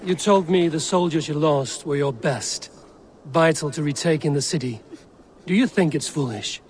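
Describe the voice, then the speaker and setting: A man voicing a stoic Viking raider in a video game, speaking in a measured, solemn, terse way. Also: fairly high pitch, lightly acted. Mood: calm, reassuring. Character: stoic male Viking raider and clan warrior. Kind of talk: video game dramatic acting.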